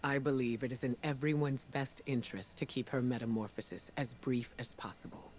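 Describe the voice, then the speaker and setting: An actor playing a grave, worried mentor in a video game, speaking in a measured, solemn and often hushed way. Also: male voice, medium pitch, fairly even intonation, a little hiss or hum, close to an everyday voice. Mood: grave, urgent. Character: grave, worried male mentor urging on a hero. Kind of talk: dramatic video game narration.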